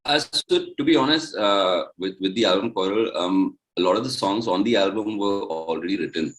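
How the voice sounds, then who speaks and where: Low-voiced young man from India. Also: moderate pace, rough recording. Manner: relaxed, loose, many "like" fillers. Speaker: musician, duo member. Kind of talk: casual interview chat.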